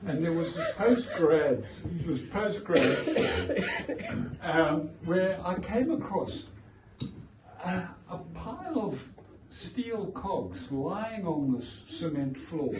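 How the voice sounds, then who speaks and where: A man with a South African accent, telling wry, wandering anecdotes as an author at his book launch. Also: sits high. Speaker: author giving a launch talk. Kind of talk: informal speech to a crowd. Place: art gallery, indoors. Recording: echoey gallery, distant, noisy.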